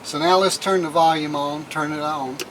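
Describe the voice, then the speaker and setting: A man's voice: on the high side, fairly even intonation, normal speed, a bit bright, slight background noise. A man giving a casual, plain-spoken hands-on how-to as a vlog host, often repeating his phrases. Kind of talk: casual how-to demonstration, talking while working hands-on. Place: in and around a minivan.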